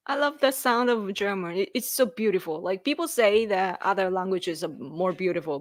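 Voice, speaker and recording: A woman's voice: mid-range voice, a bit bright, dry recording. A woman in her thirties with a Japanese accent, hosting a casual livestream, rambling, laughing often and thanking viewers. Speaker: livestream host. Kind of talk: casual livestream chat reading viewer comments.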